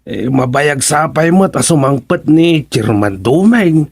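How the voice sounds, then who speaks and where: A man's voice: a little high, lively intonation, measured pace, creaky, breathy edge, no echo, clean studio sound, heavily acted. An actor with an Ilocano Filipino accent playing a shifty, bumbling village councilman who hems and stammers, in a radio comedy drama. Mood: sly, hesitant. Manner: hesitant, stammering, full of ums.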